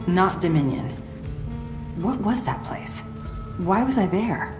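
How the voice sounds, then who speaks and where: A guarded, loyal female operative in a game cinematic. She speaks seriously in a very low, clipped voice, echoing over clear background music. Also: big pitch swings, slow pace. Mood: confused. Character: guarded, loyal female covert-ops soldier. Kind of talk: video game cinematic acting.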